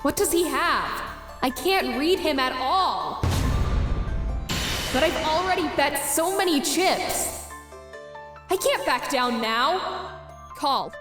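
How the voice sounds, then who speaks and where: Woman doing a high-pitched anxious teen girl, competitive, thinking out loud in a web comic dub, roomy sound. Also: lively intonation. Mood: calculating, tense. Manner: deliberate, musing to self. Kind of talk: webcomic fan dub acting with inner monologue. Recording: roomy voice with music behind.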